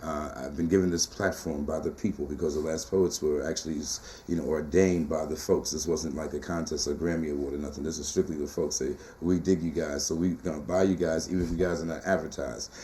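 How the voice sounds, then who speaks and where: A middle-aged man with a tenor-baritone voice, talking in a casual interview as a poet and teacher, animated and emphatic with rhythmic repeated phrases. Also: a little fast, hardly any pauses, a little hiss or hum.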